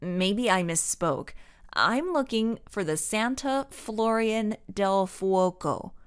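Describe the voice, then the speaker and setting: A woman's voice: mid-range voice, big pitch swings, slow pace. Woman playing young girl, earnest, insistent, questioning, carefully enunciated, swooping intonation, storybook adventurer. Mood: polite.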